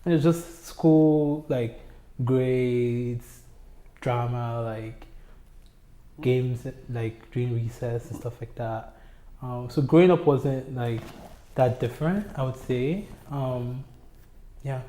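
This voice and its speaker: Mellow-voiced young man, relaxed storytelling. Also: medium pitch, slow, roomy sound. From Nigeria. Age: young adult. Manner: relaxed, hesitant, many fillers. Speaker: oral history interviewee. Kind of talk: casual personal storytelling. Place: community center room.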